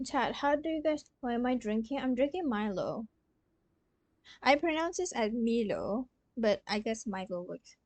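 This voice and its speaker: A woman's voice: on the high side, lively intonation, moderate pace, no echo. A young woman from Malaysia chatting casually as she streams a game. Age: young adult. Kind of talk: casual live-stream chat while playing. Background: soft game music.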